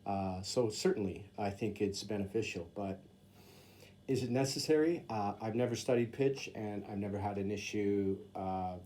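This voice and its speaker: A man's voice: on the low side, moderate pace, dark tone, slight background noise. A man who teaches English in Japan, a native English speaker, talking casually to camera and explaining Japanese sentences with plenty of fillers. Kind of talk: casual talk to camera, reading sentences aloud.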